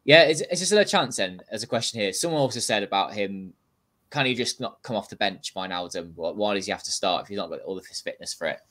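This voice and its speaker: Young man, lowish voice, quick chatty football talk, video call, reads viewer comments. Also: lively intonation, fairly bright tone. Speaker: co-host reading viewer comments.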